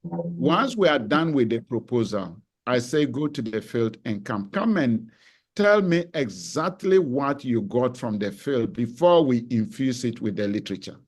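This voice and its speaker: A male professor giving a measured, reflective answer to a question on a panel, pausing now and then as he thinks. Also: mid-range voice, animated. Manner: deliberate, reflective, some hesitations.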